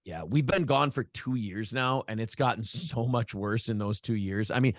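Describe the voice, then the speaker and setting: A man's voice: medium pitch, moderate pace, dry recording, clean studio sound. An American man chatting casually and profanely as an interview guest, a broadcaster who tells stories by acting out imagined quotes. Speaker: podcast host being interviewed, former radio broadcaster.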